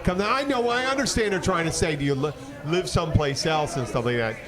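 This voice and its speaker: A man chatting casually as a podcast host, telling stories in an animated, rambling way. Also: fairly high pitch, fast talker. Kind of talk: casual bar-side chat. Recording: loud crowd noise, outdoor urban setting. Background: loud crowd and outdoor bustle.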